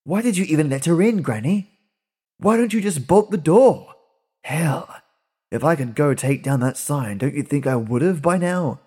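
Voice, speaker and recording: A man reading aloud and voicing a sullen, weary young drifter who speaks in a low, rustic way. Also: expressive, normal speed, a little mellow, husky. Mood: weary, somber. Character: sullen young male drifter, weary beyond his years.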